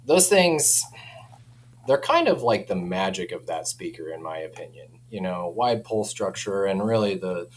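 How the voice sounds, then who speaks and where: A man's voice: sits low, a little hiss or hum. A man speaking informally as a company representative in a casual product chat, often framing things as personal opinion. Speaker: audio company representative and interview guest.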